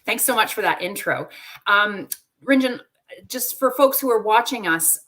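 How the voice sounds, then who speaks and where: A woman's voice: mid-range voice, expressive, moderate pace, sharp tone. A woman hosting a live wildlife webinar, friendly and upbeat, talking conversationally over a video call. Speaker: host.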